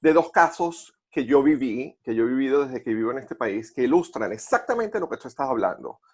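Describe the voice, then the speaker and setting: A man with a Venezuelan accent telling personal stories conversationally, haltingly repeating words as he searches for phrasing. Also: mid-range voice, big pitch swings, a little mellow, breathy edge. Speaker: podcast co-host.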